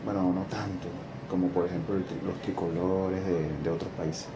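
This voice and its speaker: A man in his thirties chatting casually and haltingly, as if muttering to himself, in a small room. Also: sits low, little pitch movement. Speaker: video host. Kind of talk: casual unscripted chat. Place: small indoor room with bare walls. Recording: clearly noisy background hum.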